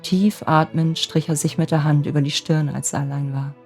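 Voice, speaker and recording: A woman storyteller reads a scripted fairy tale for bedtime. Her voice is low-pitched and gentle. Music plays softly behind the narration. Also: little pitch movement, moderate pace. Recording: music bed under the voice.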